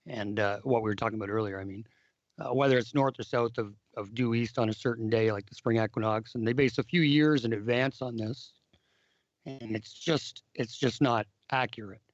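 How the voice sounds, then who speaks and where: Hoarse man, dull lo-fi sound. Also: mid-range voice, fairly even intonation, moderate pace, no echo. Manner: repeats words and restarts often. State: hoarse, lost his voice. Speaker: podcast host. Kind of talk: casual discussion of biblical calendar history.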